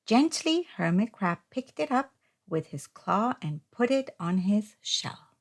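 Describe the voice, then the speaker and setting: A woman reading a children's story aloud as narrator, in a deliberate, expressive storytelling manner. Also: mid-range voice. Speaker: storybook reader.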